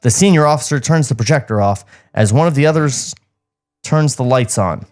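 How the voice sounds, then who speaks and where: A man narrates a script for a comedy podcast with wry asides. His mid-pitched voice is very animated, with music in the recording. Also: normal speed. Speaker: podcast host reading stage directions.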